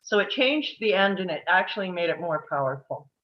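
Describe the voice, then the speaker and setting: A woman's voice: lowish voice, expressive, fairly quick, muffled recording. A middle-aged woman talking casually and earnestly in a group discussion, with emphatic phrasing. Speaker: table read participant. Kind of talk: casual post-reading discussion.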